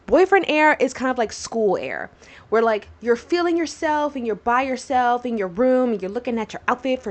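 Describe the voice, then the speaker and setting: A woman in her twenties hosting a solo podcast, talking casually and rambling through tangents with many fillers. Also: a little high. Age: 20s. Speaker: podcast host.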